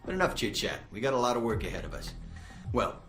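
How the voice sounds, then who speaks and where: An actor playing a cocky young spy agency tech trainer, breezy, needling and smug in a video game. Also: male voice, mid-range voice, big pitch swings, quick pace, fairly bright tone. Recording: roomy echo with music underneath.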